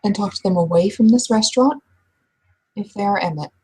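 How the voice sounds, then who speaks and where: A woman playing a caring, protective female friend in a tabletop roleplaying game, gently instructing in an earnest, hesitant manner. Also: medium pitch, brisk, slightly rough, close to an everyday voice. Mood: protective, firm.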